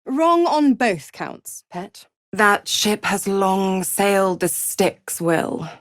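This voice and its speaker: A woman's voice: medium pitch, animated, no echo, clean studio sound. Silky, taunting she-devil, swooping delivery. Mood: haughty. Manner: silky, condescending, theatrical, drawn-out taunting. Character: seductive, gloating female devil patron, cruelly teasing. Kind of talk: video game character voice acting.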